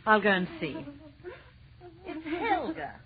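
An actress in a 1930s radio horror play voicing a professor's anxious wife, hesitant and pleading. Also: female voice, sits high, big pitch swings, slow pace, full character voice. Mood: soothing. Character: anxious, soothing professor's wife, easily frightened. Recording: muffled noisy 1930s radio recording.

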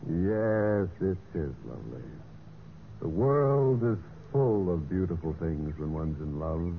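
A thin, slightly noisy old radio recording carries a calculating male suitor. His voice is fairly low and swings widely as he persuades, smooth and earnest, in classic mystery-play style. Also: lively intonation, slow, phone-quality sound, heavily acted. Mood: tender wooing. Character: scheming, smooth-talking male suitor narrating his own crimes. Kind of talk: 1940s-50s radio mystery acting.

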